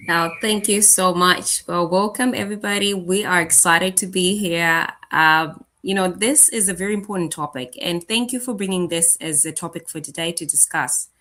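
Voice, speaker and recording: A woman's voice: lowish voice, normal speed, few pauses, pushed and bright. A woman co-hosting a livestream, giving a casual, earnest and conversational motivational talk. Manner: conversational, earnest, often says "you know". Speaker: livestream co-host, business owner.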